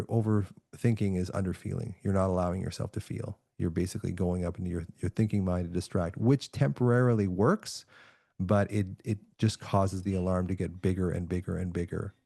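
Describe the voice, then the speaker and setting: A man from Canada, a podcast guest and author, speaking calmly and reflectively, with a soothing, soft-spoken manner. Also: deep voice, normal speed, gravelly, no room sound, clean studio sound. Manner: calm, reflective, soft-spoken with frequent restarts. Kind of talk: relaxed remote podcast interview.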